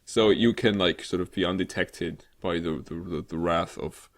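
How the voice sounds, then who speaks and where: A man's voice: sits low, fairly even intonation, normal speed. A man hosting a casual gaming podcast in unscripted chat, hesitant in his phrasing and dryly joking. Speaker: podcast host.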